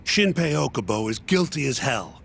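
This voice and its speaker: An actor in an English game dub playing a brash, flirty middle-aged lawyer with a crass, casual manner. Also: male voice, mid-range voice, sing-song, moderate pace, gravelly, fairly bright tone, a little hiss or hum. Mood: dismissive.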